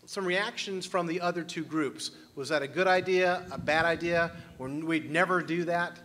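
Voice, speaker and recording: A man facilitates a workshop in a conference room and keeps drawing the room in with questions. His voice is bright and sits a little high. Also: moderate pace, a little hiss or hum. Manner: questioning, inviting the room to respond. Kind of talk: leading a group discussion.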